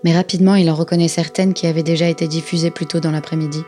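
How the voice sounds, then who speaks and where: A woman's voice: sits low, fairly even intonation, very fast, mellow, faint music behind, lightly acted. A woman playing a detached chorus narrator in a scripted audio fiction.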